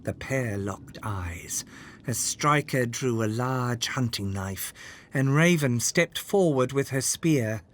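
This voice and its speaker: A storyteller reading a children's fairytale aloud in an expressive, measured way, with dramatic scripted delivery. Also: medium pitch. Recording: music under the voice. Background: background music.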